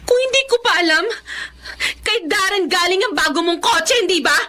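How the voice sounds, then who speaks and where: A woman's voice: extremely high pitch, expressive, normal speed, bright, forceful tone, heavily acted. A woman playing a hurt, indignant betrayed wife with a Filipino accent, tearful and scolding in a melodrama. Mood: bitter, angry. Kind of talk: Filipino melodrama radio-style acting.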